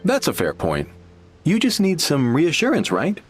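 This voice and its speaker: A mid-pitched male voice with lively swings, a smug game client who leans in to share gossip and scorns rivals. Soft game music sits behind him. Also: normal speed. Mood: confiding. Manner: smug, confiding. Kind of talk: game voice acting.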